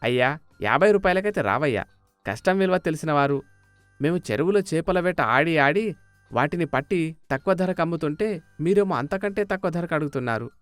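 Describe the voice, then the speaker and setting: A man's voice: fairly high pitch, animated, fairly quick, fairly bright tone, smooth, no room sound, soft background music. A man voicing an indignant village fisherman who haggles with sarcasm and pleading in a moral story.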